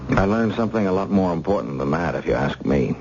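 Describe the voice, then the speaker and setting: An actor playing an earnest, devoted husband in a radio drama, speaking hesitantly and sincerely. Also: male voice, low voice, animated, quick pace, a little mellow. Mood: earnest, tender. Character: earnest devoted husband, shaken after an ordeal. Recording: dull, faintly noisy old radio recording.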